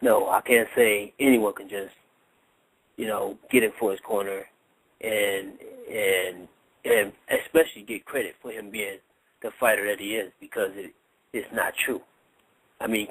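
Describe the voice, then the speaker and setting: Male boxing trainer, low voice, dry close recording, emphatic and repetitive in conversational interview delivery. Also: a bit bright, no echo, rough recording. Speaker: boxing trainer, guest analyst. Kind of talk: casual radio interview talk.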